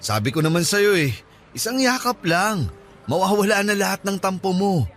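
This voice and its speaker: A man's voice: high voice, sing-song, normal speed, fairly bright tone, soft background music. A man playing a playful, insistent and oblivious middle-aged suitor in a Filipino radio romance drama, coaxing and teasing. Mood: insistent, puzzled.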